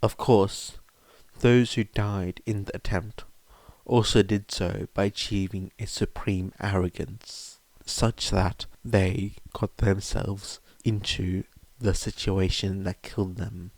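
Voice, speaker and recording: A man's voice: on the low side, steady tone, slow pace, a little mellow, no room sound. A man reading a story aloud as scripted narration. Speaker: audiobook-style fan fiction reader.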